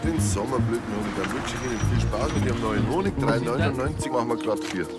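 An actor playing a hustling roadside honey seller in a TV crime comedy. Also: male voice, medium pitch, fast, loud music behind the voice, lightly acted. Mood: cheerful. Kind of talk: naturalistic TV comedy dialogue.

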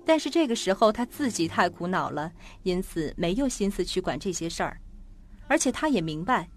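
A woman's voice: medium pitch, slight background noise. A woman narrator reading scripted narration for a suspense audio drama. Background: background music.

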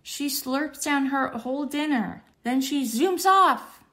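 Slow, high-pitched woman storyteller. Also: expressive, fairly bright tone. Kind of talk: expressive children's read-aloud narration.

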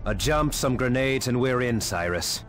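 A man's voice: mid-range voice, animated, normal speed, lightly acted. A man playing a confident, eager young space marine sergeant in a video game, bold and breezy. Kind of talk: video game character acting. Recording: loud music and battle behind.

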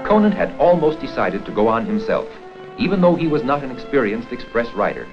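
A man reading scripted documentary narration for an educational history film in a formal, measured storytelling manner. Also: mid-range voice, very animated. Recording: dull 16mm film soundtrack with music.

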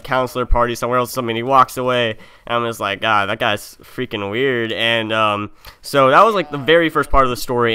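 Young guy streamer telling a story, mid pitched voice, slangy and informal, does the dialogue bits. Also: pushed and bright, clear, resonant tone. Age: young adult. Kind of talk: casual storytelling. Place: indoor room at a gaming setup.

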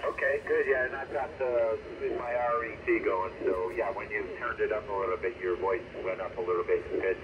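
A man's voice: on the low side, steady tone, brisk, hardly any pauses. A man chatting casually as an amateur radio operator, heard over a radio link. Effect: radio transmission. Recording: muffled noisy ham radio signal.

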